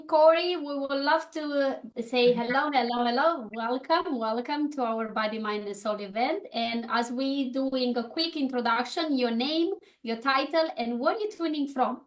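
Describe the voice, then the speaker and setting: Woman w/ romanian accent hosting a zoom circle, bubbly, says things twice, kinda high voice. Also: moderate pace, few pauses. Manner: bubbly, repeats words, laughs often. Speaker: host of a networking event. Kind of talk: casual group introductions. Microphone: video call.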